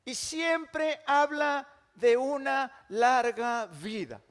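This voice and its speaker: An older male pastor with a high-pitched voice preaches a sermon, moving from loud, forceful declarations to quiet, slow, drawn-out phrases. His voice rings out with a long echo in a large church hall. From Mexico. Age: 60s.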